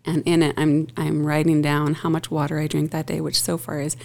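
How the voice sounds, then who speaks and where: A woman talking in a relaxed, reflective way in a casual group chat, often breaking into laughter. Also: sits low, fairly quick, gravelly.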